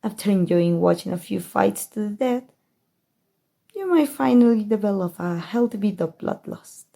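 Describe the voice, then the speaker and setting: A woman with a Mexican accent playing a haughty, scheming evil queen in a soft, musing ASMR roleplay monologue. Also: mid-range voice, animated, creaky. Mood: gleeful scheming. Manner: slow, measured, musing delivery addressed to a listener.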